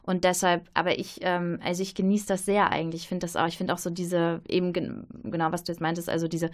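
Young female singer, low flat tone, thoughtful and halting. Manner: thoughtful, hesitant, many restarts and fillers. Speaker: opera singer, guest. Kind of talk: reflective interview talk.

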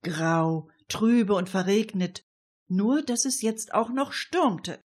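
A woman's voice: mid-range voice, animated, takes it slowly, a little mellow, slight background noise. A woman narrating a scripted radio crime story.

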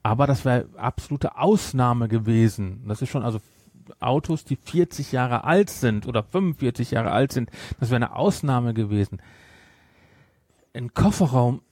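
A man's voice: medium pitch, a little mellow, husky, no echo, studio quality. A middle-aged man chatting casually and jokingly as a podcast co-host, rambling as he goes. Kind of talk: casual unscripted podcast chat.

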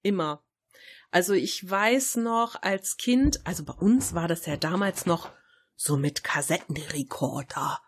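A woman's voice: on the low side, unhurried, breathy edge. Chatty woman in casual podcast conversation. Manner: colloquial, chatty. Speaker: podcast co-host. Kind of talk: casual chat, reminiscing.

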